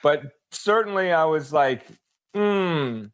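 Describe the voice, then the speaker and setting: A man, a sports journalist guest, giving casual unscripted commentary in a halting, think-aloud manner. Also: a little high, lively intonation, smooth.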